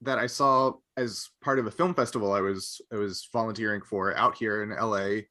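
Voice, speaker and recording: Bright, forceful man chatting on a podcast. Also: lowish voice, fairly even intonation, normal speed. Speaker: podcast co-host. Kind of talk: casual panel chat.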